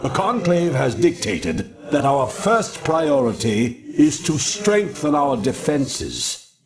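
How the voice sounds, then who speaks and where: Stern alien judicator, pompous and formal, slow theatrical delivery with wide swings, busy background voices. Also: male voice, medium pitch. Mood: commanding. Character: stern, haughty alien judge serving a ruling council. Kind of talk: theatrical video game briefing dialogue.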